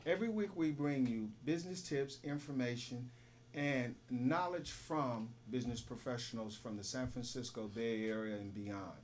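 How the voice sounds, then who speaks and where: A man's voice: mid-range voice, moderate pace, slight background noise. A man hosting a business talk show, giving formal, announcer-like introductions to his guests.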